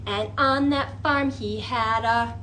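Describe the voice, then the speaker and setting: Bright, high-voiced woman leading children's storytime. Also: slow pace, a little hiss or hum. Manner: animated, exaggerated wonder, coaxing questions for young children. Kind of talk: interactive children's storytime with puppets and singalong.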